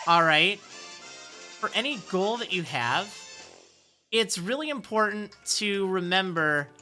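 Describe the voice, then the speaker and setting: A man with a high, youthful-sounding voice streaming a game, reading viewer messages and answering with rambling encouragement. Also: pushed and bright. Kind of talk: casual live-stream chat.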